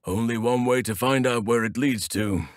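An actor playing a wise, gentle elf druid in a video game, with a measured, formal, thoughtful delivery. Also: male voice, mid-range voice, no echo, clean studio sound, natural voice. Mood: thoughtful, calm. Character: wise, gentle male elf druid, nature-loving and solemn. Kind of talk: dramatic game dialogue.

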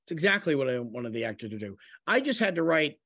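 Halting middle-aged man, dry close sound. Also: a little high, very animated, fairly bright tone, no echo. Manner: halting, trailing off, self-effacing. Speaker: playwright and actor. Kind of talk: casual talkback discussion. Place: at home on a video call.

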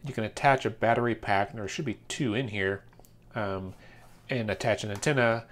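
A man's voice: on the low side, moderate pace. An American man in his thirties or forties giving a casual, informal unboxing commentary, thinking aloud as he goes. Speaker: product reviewer. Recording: clear background noise, handling clicks.

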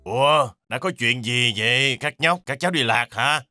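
A woman's voice: rumbling low, normal speed, bright, forceful tone, no echo, clean studio sound, faint music behind. A woman voicing an amused, patronizing male duck sailor in a children's cartoon.

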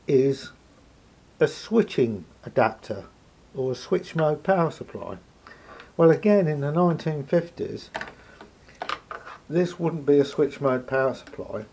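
A man with a gravelly, husky voice gives an unscripted hands-on demonstration, thinking aloud hesitantly as he explains. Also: on the high side, dark tone, slight background noise. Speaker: hobbyist radio repairer. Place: indoor room at a table.

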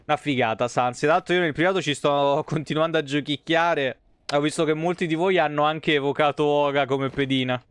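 Smooth-voiced Roman man streaming a game. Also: on the high side, fairly quick, dry recording. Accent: Roman Italian. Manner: colloquial, slangy, rambling asides. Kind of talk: casual live game commentary, chatting with viewers.

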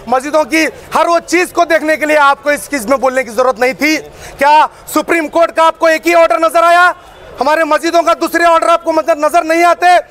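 A man delivers a fiery public statement in a very high, raised voice. He is emphatic and declamatory, like an activist addressing the press. He is recorded outdoors on a street, with faint noise around him. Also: fairly bright tone. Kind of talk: impassioned public statement to news camera. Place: busy open street outside shopfronts.

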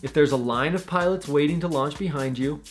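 Young guy coaching paragliding tips to camera, slightly high voice, soft music under it. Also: brisk. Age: young adult. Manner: earnest, coaching, gestures with his hands. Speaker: paragliding instructor and channel host. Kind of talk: direct-to-camera tutorial. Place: small home room.

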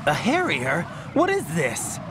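An actor playing an anxious, earnest young special agent in a video game, intense and questioning. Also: male voice, a little high, huge pitch swings, slow, noisy background, heavily acted. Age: young adult. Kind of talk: video game cutscene acting.

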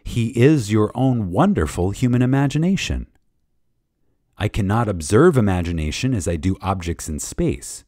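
A man reading a spiritual lecture dramatically, with reverence, emphasis and deliberate pauses. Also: lowish voice, expressive, mellow, no room sound, studio quality. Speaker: reader of a lecture.

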